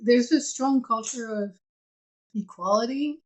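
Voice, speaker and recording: Podcast co-host, woman, fairly high voice, slow and considered delivery. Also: a little mellow. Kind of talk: casual conversation.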